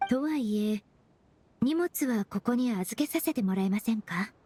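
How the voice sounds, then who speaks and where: An actress playing a calm, polite young woman perfumer in an anime game, precise and soft-spoken. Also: a little high, measured pace, a little hiss or hum. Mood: polite, easygoing. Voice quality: soft, breathy.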